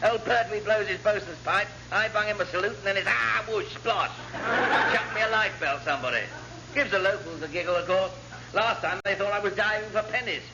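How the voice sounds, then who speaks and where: A man's voice: sits high, fairly quick. A man playing an amiable, bumbling commander with an upper-class English accent, rambling absent-mindedly and chuckling in a radio sitcom. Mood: cheerfully befuddled. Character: amiable, bumbling, tipsy-sounding accident-prone posh male commander. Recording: muffled, noisy vintage radio recording.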